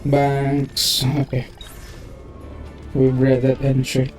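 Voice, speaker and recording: A man's voice: mid-range voice, monotone, extremely slow, faint music behind. A man giving sparse, muttered commentary while playing a video game. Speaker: gamer.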